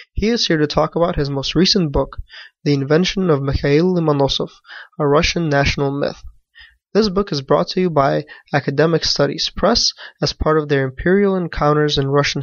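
A man's voice: fairly high pitch, a bit bright, dry recording. A man hosting a podcast, reading a formal introduction and asking a guest questions. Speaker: podcast host. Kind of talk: scripted introduction, then interview questions. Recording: dry, dull lo-fi audio.

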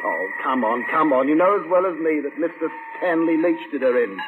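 Man acting sardonic London garage owner, raised pitch, chatty, working-class, radio drama, narrow noisy old sound. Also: animated, a little fast, lightly acted. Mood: sardonic, exasperated.

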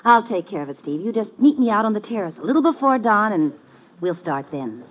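Woman playing a breezy, animated girl reporter. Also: medium pitch, fairly quick, natural voice. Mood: eager, brisk. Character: breezy, wisecracking young female reporter. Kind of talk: 1950s radio drama acting. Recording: dull, noisy old radio recording.

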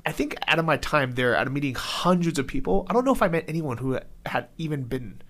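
Man with a lively, swooping voice. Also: on the high side, fast talker. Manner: enthusiastic, emphatic recommending. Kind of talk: casual conversation.

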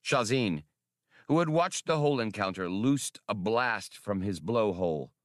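Animated male audiobook narrator, lower-pitched. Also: a little slow, no room sound, studio quality. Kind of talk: scripted dramatic narration.

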